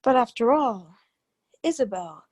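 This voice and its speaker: A woman's voice: on the high side, lively intonation, unhurried, no room sound, studio quality. A woman playing an anxious, secretive wife in a radio drama, hesitant and placating as she speaks. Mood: placating, uneasy. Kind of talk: old-time radio drama acting.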